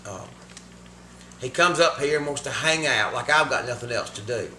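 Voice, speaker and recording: A man's voice: mid-range voice, moderate pace, sharp tone, reverberant, slight background noise. A man, a pianist and singer, talking in a folksy, joking way on a reality show. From Georgia, US.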